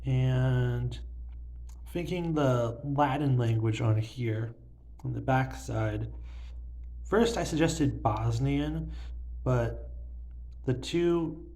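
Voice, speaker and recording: A man's voice: mid-range voice, extremely slow, long pauses, warm tone. A young man casually showing his banknote collection to a phone camera, rambling with lots of fillers. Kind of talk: casual show-and-tell narration. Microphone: phone filming banknotes.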